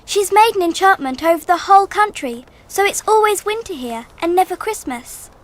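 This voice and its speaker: A woman's voice: extremely high pitch, animated, moderate pace. A woman playing an earnest, kind-hearted little girl in a radio drama. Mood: earnest pleading.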